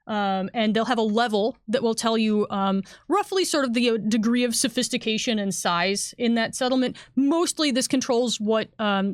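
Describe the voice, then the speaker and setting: A woman game designer talking casually on a panel, explaining things in a halting, think-aloud way. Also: fairly high pitch, no echo, studio quality. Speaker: game designer on a company livestream.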